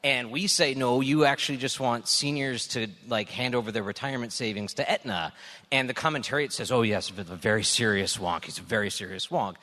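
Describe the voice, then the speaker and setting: A man's voice: medium pitch, brisk, sharp tone. A man on a political panel delivering a wry, exasperated rant in conversational phrasing. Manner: wry, exasperated, acts out mock quotes. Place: stage in a live venue. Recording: echoey hall live recording.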